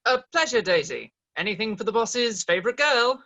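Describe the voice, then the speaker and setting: Ingratiating sidekick played by a man: animated, wry, comic radio play, dull recording. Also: high-pitched, moderate pace, heavily acted. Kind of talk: comedy radio play acting. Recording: dull, muffled home recording.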